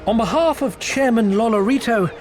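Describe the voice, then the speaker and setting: An actor playing a theatrical, slyly obliging merchant in a video game, with florid, overly courteous, roundabout speech. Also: male voice, very high voice, moderate pace. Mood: courteous, welcoming. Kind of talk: dramatic video game voice acting. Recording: music behind the voice.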